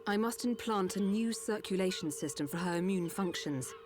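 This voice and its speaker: An actress playing a calm, precise female Machina doctor explaining a treatment. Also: medium pitch, normal speed, a little hiss or hum, close to an everyday voice. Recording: game cutscene with music.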